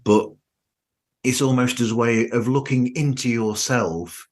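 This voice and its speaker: A man's voice: mid-range voice, steady tone, a little slow, a little mellow. A man hosting a podcast, musing in a roundabout, conversational way. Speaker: podcast host. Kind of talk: casual discussion.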